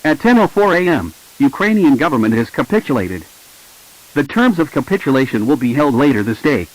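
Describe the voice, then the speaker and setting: A man's voice: mid-range voice, very animated, a little fast, low-quality recording. A man reading a scripted emergency broadcast announcement in a formal, impersonal way.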